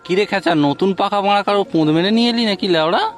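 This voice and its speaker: A man's voice: high voice, rapid-fire, faint music behind, lightly acted. A man voicing a crude, suspicious young club mate in a comic cartoon. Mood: surprised, suspicious. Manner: swearing, suspicious.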